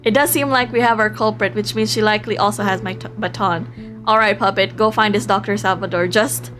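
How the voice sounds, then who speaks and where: A woman's voice: mid-range voice, steady tone, fairly quick, fairly bright tone, lightly acted. A woman voicing a bossy, impatient male companion from a visual novel, in a commanding way, with music behind. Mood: impatient, urging.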